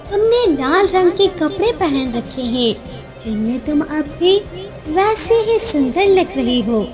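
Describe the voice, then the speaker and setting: A mysterious lioness of a cartoon fable, acted out by a woman, speaks in a very high, tender voice. She is slow, reassuring and motherly. Faint music plays behind her. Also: full character voice.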